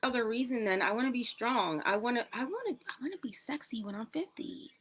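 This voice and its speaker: A woman's voice: on the high side, animated, fast. Chatty dramatic woman in her forties. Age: 40s. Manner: chatty and dramatic, swings loud and soft. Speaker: wellness product promoter in a clip. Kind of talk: casual social media video.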